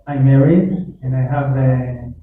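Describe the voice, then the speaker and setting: A man in his twenties from Israel introduces himself slowly and haltingly. The sound is thin and phone-like, and he is far off in a very echoey room. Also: medium pitch, slight background noise. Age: 20s. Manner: halting, many fillers. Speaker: MBA student. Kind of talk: class self-introduction.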